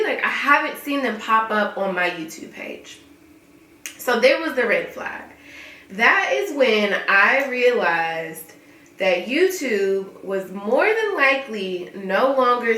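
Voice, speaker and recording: A woman's voice: mid-range voice, pushed and bright, echoey room, distant mic, a little hiss or hum. A young woman in a casual vlog, sounding stuffy and congested from a cold, venting frankly with lots of ums. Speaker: beauty vlogger. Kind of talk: casual vlog talk to camera.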